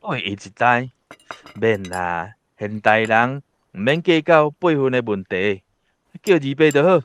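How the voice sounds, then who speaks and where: An actor playing a genial, respected old great-uncle in a radio drama, with a Taiwanese accent and a hearty, easygoing manner. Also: male voice, mid-range voice, lively intonation, unhurried, a little hiss or hum, natural voice. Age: older.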